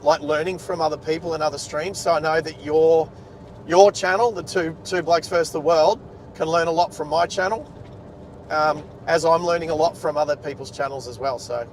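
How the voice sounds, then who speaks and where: An Australian man chatting casually and ramblingly as he hosts a livestream from inside a moving car. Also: rough recording. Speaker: livestream host, driver. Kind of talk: casual chat. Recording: car rumble and road noise.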